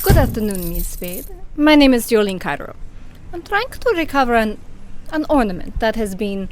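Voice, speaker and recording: A woman's voice: fairly high pitch, big pitch swings, normal speed. A woman playing a polite but menacing female crook who speaks in a formal, precise way, in an amateur audio drama.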